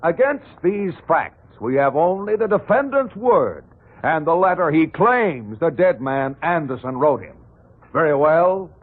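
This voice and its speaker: Insistent prosecutor, oratorical, sarcastic, highish, animated, vintage radio drama, muffled narrow recording. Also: male voice. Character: relentless, sarcastic prosecutor pressing his case. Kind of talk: 1940s radio drama acting.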